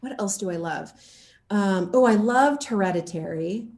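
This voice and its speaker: An American woman in a casual interview chat, animated and giggly, often acting out her own reactions. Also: mid-range voice, normal speed. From Seattle, Washington, USA. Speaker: interview guest, actor. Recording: roomy, echoey sound.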